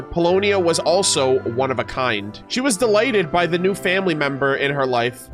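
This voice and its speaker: High-voiced man reacting over music. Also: fairly bright tone. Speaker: YouTube game streamer. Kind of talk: reaction commentary, reading lore text aloud and commenting casually. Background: background music.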